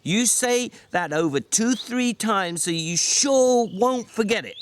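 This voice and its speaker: A man voicing a small, irritable, bossy drifter in a story reading, scolding in sharp rustic slang, exasperated but protective. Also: high-pitched, animated, slow, slight background noise, pitched above the performer's own voice. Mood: bossy, instructing.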